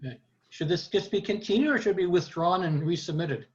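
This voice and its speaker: An American man chairing an online committee meeting in an informal, halting way, with muffled sound. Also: high-pitched. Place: remote video meeting.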